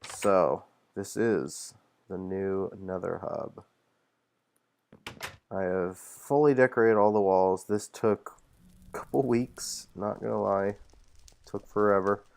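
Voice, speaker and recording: Man, very low voice, gravelly fry, slightly congested from a cold, casual rambling walkthrough narration. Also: takes it slowly, long pauses. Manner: relaxed, rambling, with frequent fillers and self-corrections. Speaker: channel host showing his Minecraft server builds.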